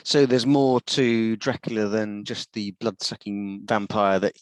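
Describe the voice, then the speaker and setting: A man's voice: fairly low pitch, unhurried, smooth, no room sound. Chatty male radio interviewer, dry sound. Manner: chatty, rambling questions. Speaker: radio show host, interviewer. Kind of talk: casual music interview.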